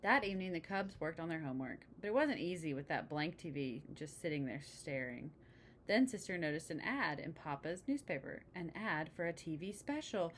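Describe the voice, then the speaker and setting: Female storybook reader, slightly low register, chatty with young listeners, faint noise on the recording. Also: animated, moderate pace. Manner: engaging, asks the listener questions. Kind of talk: read-aloud of a children's picture book with chatty asides to the listener.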